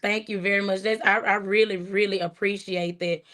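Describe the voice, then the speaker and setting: A woman hosting her first livestream, chatting casually and warmly, thanking viewers by name and laughing often. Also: mid-range voice, fairly even intonation, normal speed. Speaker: livestream host. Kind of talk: casual livestream chat reading comments.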